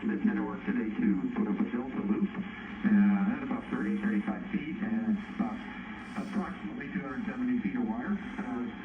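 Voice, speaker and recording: Radio amateur heard over a dull, distant shortwave speaker, gravelly, hesitant on-air chatter. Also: male voice, mid-range voice, echoey room, background noise. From Bulgaria. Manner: halting, with many fillers. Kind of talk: casual on-air chat.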